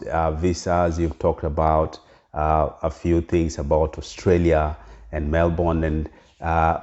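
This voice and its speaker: A middle-aged man with a Kenyan accent speaks straight to his viewers in an exceptionally low, deep voice. He talks earnestly and hesitantly, with many fillers and restarts. The sound is dull and lo-fi. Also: darkish tone. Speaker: vlogger. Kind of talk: casual direct-to-camera talk.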